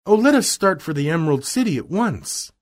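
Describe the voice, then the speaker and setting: A man's voice: high voice, wildly swooping pitch, measured pace, pitched above the performer's own voice, exaggerated voice. A man voicing Dorothy as an earnest, eager young girl in a children's storybook.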